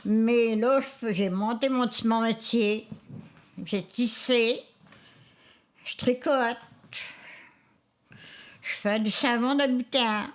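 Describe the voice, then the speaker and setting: A woman in her nineties with a Charlevoix Quebec French accent, reminiscing in a halting, rambling way with many restarts. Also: fairly high pitch, fairly even intonation. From Quebec, Isle-aux-Coudres. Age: 90s. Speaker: interviewee.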